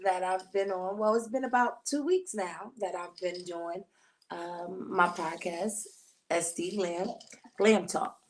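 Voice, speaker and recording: A woman with a raspy voice hosting a casual live podcast, talking earnestly and repeating herself often. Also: lowish voice, slow pace, slight background noise. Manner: repetitive, earnest, many restarts. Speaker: podcast host. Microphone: handheld mic.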